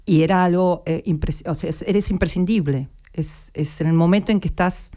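A woman's voice: on the low side, moderate pace, dark tone. A woman in her fifties with an Argentine accent, a guest on a radio interview, talking reflectively and searching for her words. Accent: Argentine Spanish.

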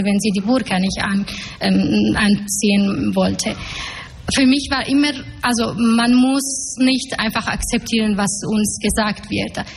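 A woman in her twenties from Afghanistan, a panel guest and activist, speaking with many fillers and searching for words. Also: a little high. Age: 20s. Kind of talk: public panel talk. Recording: echoey hall, faint noise.

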